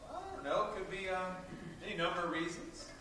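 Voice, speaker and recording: A man acting in a stage play as an earnest, frustrated adult son, halting as he pleads with his parents. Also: sits high, expressive, recorded from a distance. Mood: tentative. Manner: halting, hesitant. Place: theater stage at a college, filmed from the audience. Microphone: far camera. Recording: echoey stage recorded from afar, faint noise.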